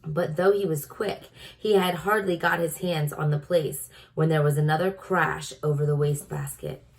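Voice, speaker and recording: A woman's voice: deep voice, fairly even intonation, moderate pace, gravelly, rough recording. A woman reading a storybook aloud to children in a teacherly manner, prompting her listeners. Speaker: storybook reader. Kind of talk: read-aloud narration for children.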